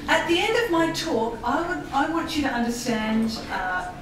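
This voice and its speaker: A woman with an Australian accent giving a lively public talk about voice work, laughing often and mimicking accents. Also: high-pitched, moderate pace, distant mic, noisy background. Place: embassy hall. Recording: echoey hall audio from afar.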